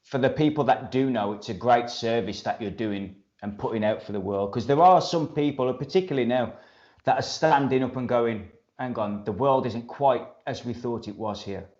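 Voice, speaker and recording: Male interviewer, mid pitch, supportive and low-key, lo-fi sound. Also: normal speed, a little mellow.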